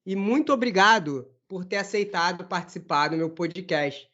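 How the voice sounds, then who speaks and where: A man from Brazil hosting his podcast and teaching Portuguese, friendly and measured as he closes out an interview. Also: high-pitched, pushed and bright. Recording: dull lo-fi sound.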